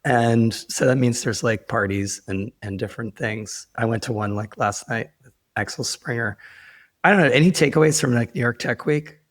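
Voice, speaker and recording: A hoarse man who is losing his voice, talking casually and wryly as a podcast host in a rambling, informal way. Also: medium pitch, moderate pace, no room sound. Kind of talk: casual conversational podcast talk.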